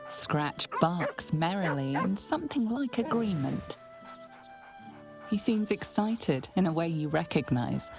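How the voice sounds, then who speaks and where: A woman narrating a fantasy game as a storyteller, hushed and breathy, with measured, deliberate delivery. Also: lowish voice, big pitch swings, music in the background, heavily acted. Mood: wry, gentle. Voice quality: breathy, hushed, often near a whisper. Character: female storyteller narrating a dark fantasy adventure. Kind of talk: scripted second-person fantasy game narration.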